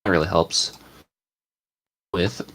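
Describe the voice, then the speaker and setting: A man's voice: low voice, steady tone, drawn-out words, fairly bright tone, rough recording. A man script writer talking casually and a little hesitantly about his idea lists. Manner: hesitant, with stumbles and fillers. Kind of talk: casual chat.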